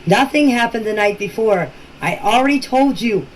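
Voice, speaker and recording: A woman's voice: fairly high pitch, expressive, moderate pace. Middle aged lady leaving blunt insistent voice messages, repeats herself, bit of noise on the recording. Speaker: local resident answering questions in a group chat. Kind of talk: informal voice messages. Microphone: voice messages recorded for a group chat. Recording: noisy amateur voice-message clips.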